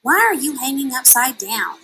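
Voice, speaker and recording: A woman's voice: high-pitched, big pitch swings, moderate pace, gravelly, bright, forceful tone, slight background noise, pitched above the performer's own voice, exaggerated voice. A woman reading a children's storybook, voicing a bossy, curious bat who corrects people in an emphatic, matter-of-fact way. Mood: bold, correcting. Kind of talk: children's storybook read-aloud.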